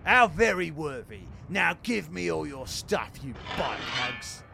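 An actor with a Cockney accent playing a cocky, rude highwayman who threatens travellers. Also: animated, a little slow, gravelly, pushed and bright, slight background noise, full character voice. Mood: menacing. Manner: menacing, sneering.

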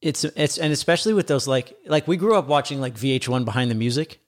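A man's voice: medium pitch, expressive, quick pace. A middle-aged man hosting a podcast in casual chat, laid-back, profane and self-mocking. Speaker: podcast host.

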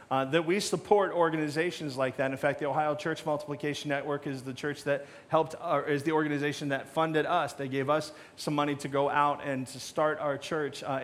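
A middle-aged American man with a slightly high voice addresses his church as its pastor. He talks informally and conversationally. He leans on anecdotes and small jokes. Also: fairly bright tone. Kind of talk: informal sermon talk to congregation. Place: church stage.

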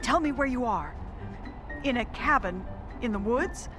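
An actress playing a frantic, exasperated museum assistant in a comic audio drama, melodramatic and scolding. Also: female voice, on the high side, lively intonation, unhurried, music in the background. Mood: panicked.

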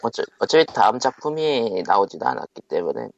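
A man hosting a casual podcast, dropping dry, quick interjections. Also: fairly low pitch, sing-song, creaky, dry recording. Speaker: podcast host. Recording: dry lo-fi audio.

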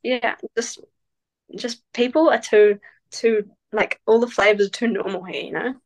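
Hesitant New woman, relaxed. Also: a little high, unhurried, poor audio. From New Zealand. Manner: hesitant, many fillers, relaxed. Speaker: guest, professional cyclist. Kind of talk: casual interview answers.

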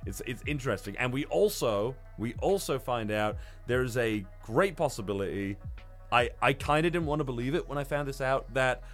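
A man's voice: mid-range voice, animated, moderate pace. Man rambling casually on a podcast over music. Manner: rambling, hesitant, swearing. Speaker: podcast co-host. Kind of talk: casual manga recap discussion.

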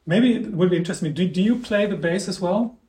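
Podcast guy, dutch-german native speaker, higher voice, rambly questions, echoey room. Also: fast talker, warm tone. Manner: inquisitive, winding questions with many ums. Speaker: podcast co-host. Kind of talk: casual music discussion.

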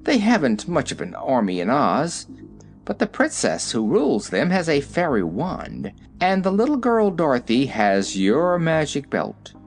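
A man voicing a wise old male gnome general who speaks calmly and lectures his king. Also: on the high side, pitched above the performer's own voice. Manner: calm, coolly lecturing.

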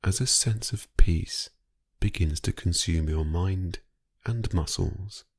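Male sleep-meditation narrator, low-pitched and slightly husky, soothing and hypnotic, unhurried phrasing. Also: no echo, clean studio sound. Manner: calm, soothing, unhurried, with long pauses.

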